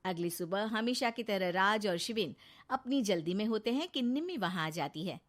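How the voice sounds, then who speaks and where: A woman's voice: medium pitch, animated, normal speed. A woman narrating a scripted moral story for a cartoon.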